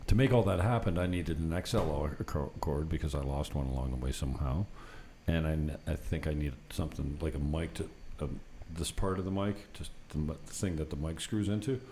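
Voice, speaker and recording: A man's voice: a bass voice, brisk, hardly any pauses, dark tone. A man hosting a casual, unscripted podcast from an apartment, rambling through anecdotes in an unfiltered way with some mild swearing. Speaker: talk show host. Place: apartment set up for recording. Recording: noticeable background noise.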